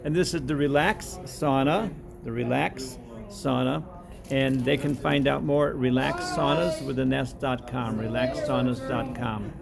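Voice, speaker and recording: Man, gravelly, friendly interviewer, quick prompts, laughs often, crowd noise behind. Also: medium pitch, fairly even intonation. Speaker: interviewer for the sauna company. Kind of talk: casual promotional interview.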